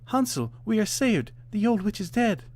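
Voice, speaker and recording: A man's voice: sits high, sing-song, normal speed, a little mellow, pitched above the performer's own voice. A man voicing an earnest little girl in a storybook fairytale, with a plaintive delivery. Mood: joyful. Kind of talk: dramatized fairytale storybook reading.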